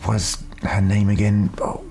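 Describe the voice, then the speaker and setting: A man's voice: lowish voice, monotone, unhurried, mellow, slight background noise. A man reading scripted audiobook narration of a fiction story.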